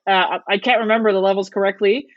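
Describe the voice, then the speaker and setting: A woman's voice: medium pitch, fairly even intonation, fast, no echo, muffled recording. A woman whose first language is Dutch, a podcast guest chatting thoughtfully with frequent restarts and fillers. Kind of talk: casual interview chat.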